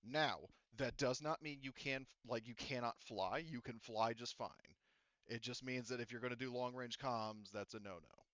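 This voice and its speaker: Smooth-voiced man running a tabletop game. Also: mid-range voice, normal speed, a bit bright, no room sound. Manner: rambling, full of fillers and restarts. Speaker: game master. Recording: slightly dull lo-fi audio.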